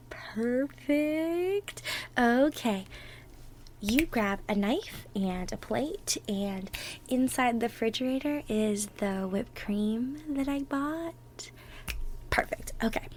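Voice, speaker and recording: A woman playing an affectionate, excitable girlfriend in a casual ASMR roleplay, chatting encouragingly with soft murmured asides as she teaches baking. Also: fairly high pitch, a little hiss or hum. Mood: soft, focused. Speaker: audio roleplay performer.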